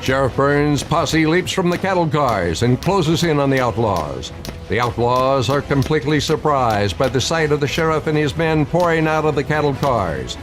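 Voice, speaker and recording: A man's voice: mid-range voice, animated, normal speed, music in the background. A man giving dramatic scripted narration for an old-west radio play.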